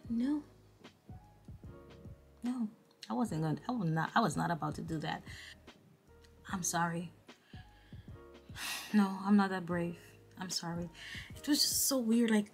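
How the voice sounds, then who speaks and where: A young woman from the Dominican Republic, a travel vlogger chatting casually to the camera, expressive and full of restarts. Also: fairly high pitch.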